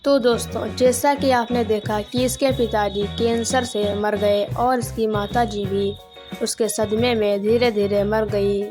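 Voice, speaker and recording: A woman's voice: medium pitch, steady tone, a little slow. A woman presenter informally recapping a story for her viewers. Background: music.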